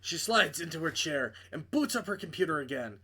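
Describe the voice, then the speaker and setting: Higher-pitched man reading a story aloud. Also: very animated, a little fast, sharp tone, breathy edge. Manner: dramatic storytelling delivery, stumbles over words. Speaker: reader playing a visual novel. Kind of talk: narrated read-aloud of a visual novel.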